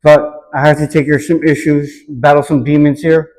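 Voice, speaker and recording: A man with a slightly high voice gives reflective interview answers. He speaks earnestly and deliberately, often echoing a question before he answers it. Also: level tone, a little mellow. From Hawaii, United States.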